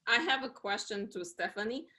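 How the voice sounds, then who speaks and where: A woman's voice: medium pitch, brisk, sharp tone. A woman moderating an online event, asking a question hesitantly and stumbling over her wording. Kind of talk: audience question. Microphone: video call.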